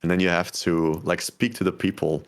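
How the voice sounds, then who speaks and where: A man speaks as a guest on a laid-back podcast, his voice on the low side. He talks in an easygoing way, laughing readily and sprinkling in plenty of fillers. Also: fairly quick, vocal fry, clear, resonant tone. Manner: relaxed, laughs easily, many fillers like "like". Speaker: podcast guest, game developer. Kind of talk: casual interview chat.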